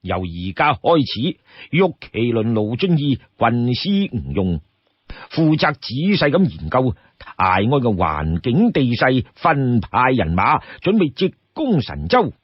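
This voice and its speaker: A man voicing a cautious, deliberate chief of an outlaw band in a storybook tale. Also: medium pitch, sing-song, breathy edge, dry recording, muffled recording, exaggerated voice. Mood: deliberate, commanding.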